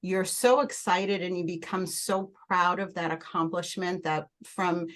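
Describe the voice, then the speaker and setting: A middle-aged woman with a Portuguese accent, a mortgage loan originator introducing herself on a podcast in an earnest way, with many halting restarts. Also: lowish voice, fairly even intonation.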